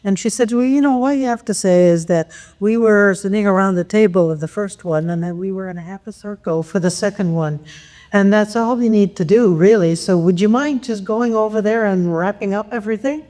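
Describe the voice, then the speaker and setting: A woman from Canada chattily reporting on a workshop at a conference, with a loud, enthusiastic voice. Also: mid-range voice, brisk. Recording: long hall reverb.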